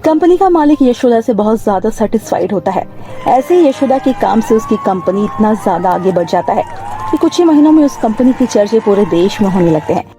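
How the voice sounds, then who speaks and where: A woman's voice: a little high, animated, brisk, dark tone. A woman reading a scripted moral bedtime story as its narrator. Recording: music bed under the voice. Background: background music.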